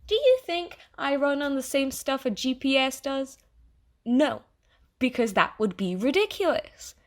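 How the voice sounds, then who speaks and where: A woman playing an earnest artificial intelligence in an audio theatre monologue, speaking conversationally and wryly with halting, emphatic pauses to the listener. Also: high-pitched, sing-song, full character voice. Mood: exasperated. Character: earnest artificial intelligence, fond of yet disappointed in humanity.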